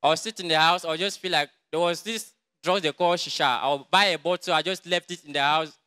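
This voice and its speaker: A young man with a Cameroonian English accent speaks in a quiet, halting way, the voice bright, crisp and rather high for a man. He is in a large crowded church, close to a handheld mic. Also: steady tone. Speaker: church member giving testimony. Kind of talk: testimony in church. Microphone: handheld mic held by another person.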